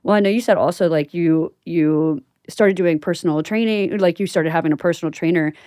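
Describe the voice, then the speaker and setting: Low-voiced woman hosting a chat. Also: fairly quick, warm tone, clean tone, no room sound, clean studio sound. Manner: chatty, informal, affirming. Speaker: podcast host. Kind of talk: casual conversational interview.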